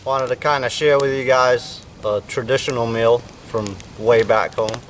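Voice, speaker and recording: A man from the United States giving a relaxed, rambling outdoor cooking demonstration with many fillers. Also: mid-range voice, moderate pace. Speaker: bushcraft instructor. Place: outdoors at a campfire. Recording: distant mic, faint outdoor noise.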